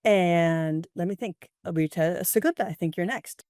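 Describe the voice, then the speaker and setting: A woman professor introducing herself on a panel, wry and relaxed. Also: lowish voice, normal speed, dry recording, studio quality.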